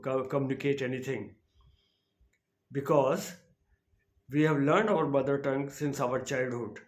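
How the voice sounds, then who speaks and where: An older man from India with an Indian English accent, speaking English as a second language, giving an earnest motivational talk on his podcast and often repeating himself. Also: fairly high pitch. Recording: echoey room.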